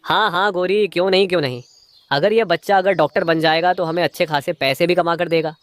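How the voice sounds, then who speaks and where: A man's voice: sits high, fairly even intonation, brisk, lightly acted. A man voicing a stingy, two-faced crow husband in a moral-story cartoon, a schemer who feigns sweetness to his wife. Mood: falsely pleased.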